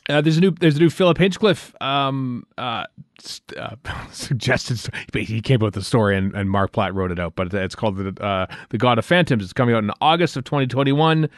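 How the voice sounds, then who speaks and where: A man hosting a podcast in casual chat, speaking haltingly with many ums and pauses as he runs through news. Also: medium pitch, no room sound, studio quality. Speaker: podcast host.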